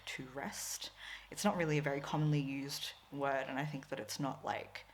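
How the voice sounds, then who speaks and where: A woman's voice: deep voice, slight background noise. A woman, a Tagalog speaker, chatting casually to camera as a crafting vlogger, rambling with lots of fillers and frequent laughs. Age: 20s.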